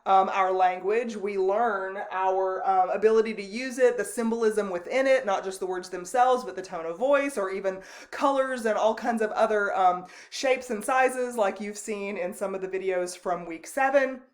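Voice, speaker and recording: A woman lecturing to her students on video with a loud voice. She talks in an easy, conversational way, stopping and restarting her sentences with plenty of ums. Her voice sits at an ordinary middle pitch. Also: normal speed, few pauses. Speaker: college instructor. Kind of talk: recorded online lecture.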